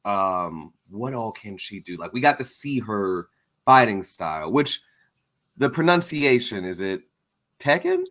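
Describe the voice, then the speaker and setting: A man with a fairly low voice hosts a comics interview show. He speaks casually and informally, feeling his way through questions out loud with a halting, conversational flow. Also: lively intonation. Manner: informal, halting, thinking aloud.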